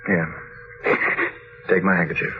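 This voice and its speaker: Low-voiced, brooding English gentleman. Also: little pitch movement, a little slow. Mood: curt, irritated. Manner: brusque, commanding, clipped. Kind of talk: 1940s radio drama acting. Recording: muffled, slightly noisy old radio broadcast.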